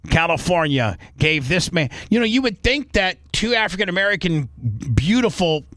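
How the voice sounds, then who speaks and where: A man's voice: high voice, animated, normal speed, a bit bright, no echo, clean studio sound. A man hosting a talk show with heated, opinionated commentary, blunt and crude, repeating phrases for emphasis.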